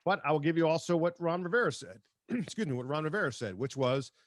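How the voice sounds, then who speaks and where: A man hosting a sports talk show, speaking conversationally and haltingly as he comments and reads out quotes. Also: on the high side, animated, no echo.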